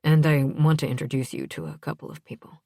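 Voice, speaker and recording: A woman's voice: deep voice, lively intonation, fairly quick, mellow, dry recording, studio quality. A woman voicing an easygoing, polite young man in an audiobook. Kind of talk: audiobook character dialogue.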